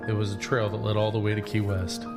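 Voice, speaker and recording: A man acting as a laid-back young man narrating an audio drama about himself. He speaks plainly and conversationally, like scripted first-person storytelling. His voice sits a little low, under prominent guitar music. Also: completely flat delivery, fast, natural voice. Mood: easygoing, reflective. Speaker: narrator and lead.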